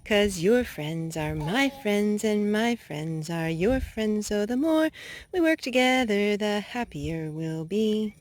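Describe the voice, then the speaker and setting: A woman's voice: medium pitch, expressive, takes it slowly. A woman doing a storytime for young children, speaking and singing expressively as a mother does to small kids. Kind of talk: sing-song children's storytime with read-aloud and songs.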